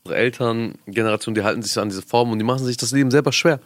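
A man's voice: mid-range voice, very fast, no echo. Young man chatting informally, dry close sound. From Afghanistan. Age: young adult. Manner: informal youth slang, frequent check-in questions. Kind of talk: casual conversational discussion.

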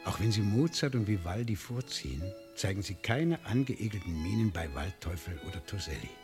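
An actor playing a cultured, chatty gentleman spa guest in a radio play, urbane and musing. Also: on the low side, lively intonation, brisk. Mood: admiring, chatty. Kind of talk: 1970s German radio play acting. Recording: string music playing behind. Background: bowed string music.

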